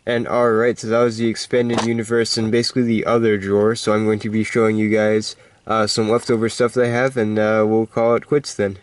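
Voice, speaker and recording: A man with a congested voice giving a casual, halting, unscripted show-and-tell of a collection. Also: on the low side, flat delivery, slight background noise. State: stuffed-up, congested voice. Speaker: hobby collector hosting a video.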